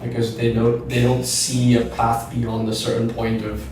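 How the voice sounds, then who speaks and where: A man in his thirties, a gym co-founder, talking in a measured, reflective way on a podcast and often stopping to rephrase. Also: mid-range voice, completely flat delivery, distant mic. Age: 30s. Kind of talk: podcast conversation. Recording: roomy echo, off-mic, faint noise.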